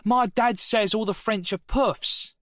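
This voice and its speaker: A man's voice: high voice, slow, no echo, studio quality, voice pushed up. Man doing a cheeky teenage schoolboy. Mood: mocking. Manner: mocking, loud.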